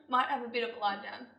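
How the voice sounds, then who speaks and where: A young woman playing an ailing, smug brother in a stage monologue, talking in a quiet, casual drawl. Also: fairly high pitch. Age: young adult. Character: Arthur, ailing smug brother, fond and wheedling. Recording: echoey room, distant mic, music.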